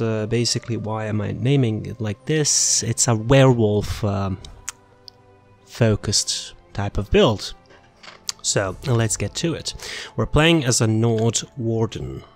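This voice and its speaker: A man giving a casual, unscripted game build walkthrough in a rambling, informal way, thinking aloud as he goes. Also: fairly low pitch. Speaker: gaming video creator presenting a character build.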